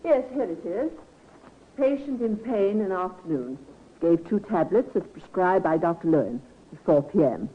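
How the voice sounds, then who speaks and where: An actress playing a proper, guarded nurse with formal, precise speech, in old-time radio style on a tinny recording. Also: female voice, lowish voice, expressive, normal speed, slightly rough. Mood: guarded, composed.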